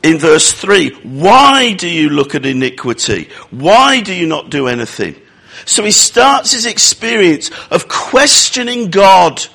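A man preaching a sermon, croaky from a cold, with jokey, self-mocking asides. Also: high voice, sing-song, unhurried, bright, forceful tone.

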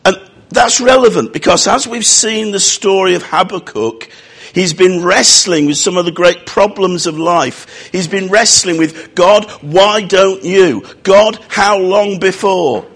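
A man preaching a sermon, croaky from a cold, with jokey, self-mocking asides. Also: sits high, measured pace, fairly bright tone.

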